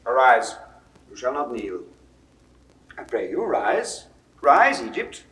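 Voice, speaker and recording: An actor playing a victorious emperor in a classical verse recording, speaking in a measured, courteous but firm way. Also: male voice, medium pitch, very animated, a bit bright, poor audio. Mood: cool, measured.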